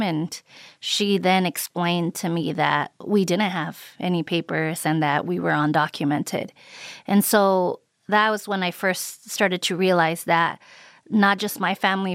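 Low-voiced woman, unhurried and reflective. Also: no room sound, clean studio sound. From Mexico. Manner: reflective, unhurried, frequent ums and uhs. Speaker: interviewee, immigrant rights advocate. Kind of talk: recorded interview recollection.

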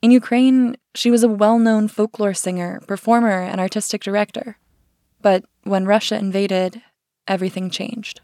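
A woman hosting a podcast, reading scripted narration in a measured, formal way. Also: mid-range voice, fairly even intonation, warm tone, no room sound, clean studio sound. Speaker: podcast host.

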